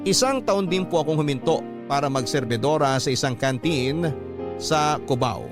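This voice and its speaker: Male radio storyteller over clear background music. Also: on the high side, expressive, normal speed. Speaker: radio host reading listener letters. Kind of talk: scripted narration, classic radio storytelling.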